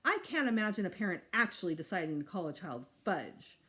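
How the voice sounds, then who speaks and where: A woman's voice: lowish voice, big pitch swings, fairly quick, pushed and bright. Woman playing an exasperated, prim kindergarten teacher. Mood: indignant. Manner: formal, emphatic, indignant.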